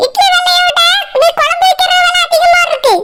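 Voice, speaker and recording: A feisty cartoon village woman, extremely high and squeaky. She speaks with a Kanyakumari Tamil accent and complains in exclamations. Loud noise runs through the recording. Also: fairly even intonation, brisk. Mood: complaining. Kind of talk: comic cartoon dialogue.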